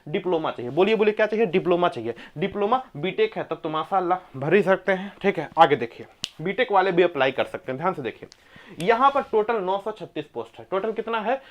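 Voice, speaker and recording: A man's voice: high-pitched, lively intonation, quick pace, muffled recording. A man teaching an exam coaching class, emphatically repeating key points and putting quiz-like questions to his listeners. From India. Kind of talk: coaching lecture explaining exam eligibility and syllabus.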